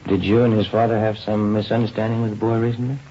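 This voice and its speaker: Actor as a mellow, brisk detective partner. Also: male voice, flat delivery, lo-fi sound, lightly acted. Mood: calm, polite. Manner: soothing, polite, low-key questioning. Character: mild, courteous male police detective partner, a father. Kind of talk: 1950s radio drama acting. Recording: hissy, band-limited old radio recording.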